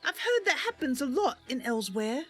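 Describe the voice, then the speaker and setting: Woman voicing a high, lively young elf girl knight. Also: fairly quick, soft background music. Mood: earnest, chatty. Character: young female wood elf knight, earnest, chatty adventurer. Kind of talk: roleplay of a fantasy video game character.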